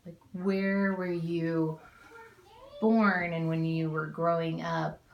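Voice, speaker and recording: A woman interviewer asking questions slowly and gently. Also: on the low side, creaky, reverberant, low-quality recording.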